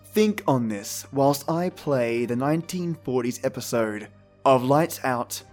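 A man's voice: mid-range voice, expressive, a little slow, a little mellow, faint music behind. A man hosting an old radio drama channel, giving a scripted, theatrical and playful spooky introduction.

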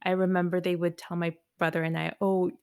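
A woman's voice: on the low side, little pitch movement, a little fast, a little mellow, clean tone. A woman whose first language was Spanish, talking casually and rambling with lots of "like"s. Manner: rambling, many "like"s. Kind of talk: casual group chat.

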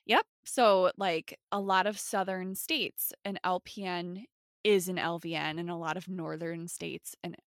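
Minnesotan woman, podcast host; gravelly, low-ish, dry close mic. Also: steady tone, measured pace, no room sound. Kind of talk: casual podcast interview.

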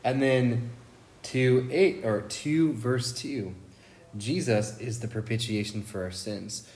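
A man's voice: medium pitch, slow pace, darkish tone. A man co-hosting a casual Bible study podcast, thoughtful and enthusiastic, often restarting his phrases. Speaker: podcast co-host.

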